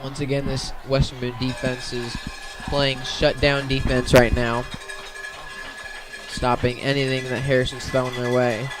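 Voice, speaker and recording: A man's voice: mid-range voice, flat delivery, unhurried, music in the background, poor audio. A man giving radio-style play-by-play commentary on a football game.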